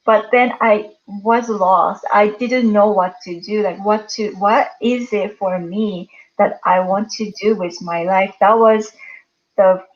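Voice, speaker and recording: Slow-speaking woman from Japan, often laughing. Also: medium pitch, steady tone, lo-fi sound. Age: 30s. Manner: warm, laughs often between phrases. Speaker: guest. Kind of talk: interview answers.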